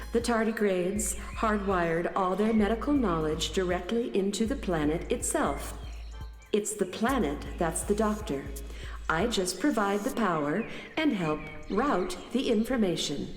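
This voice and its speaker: A woman's voice: medium pitch, expressive, close to an everyday voice. Echoing, matter-of-fact android woman, acted out by a woman. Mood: calm, informative. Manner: measured, literal-minded, explanatory. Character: gentle, matter-of-fact female planet-powering starship android. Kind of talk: children's radio play acting. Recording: echoey hall reverb, music behind.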